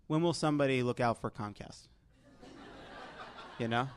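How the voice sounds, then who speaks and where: A man's voice: mid-range voice, normal speed, smooth. An American man hosting a live comedy panel show, joking in a wry, sarcastic way. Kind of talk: joking panel chat before a live audience.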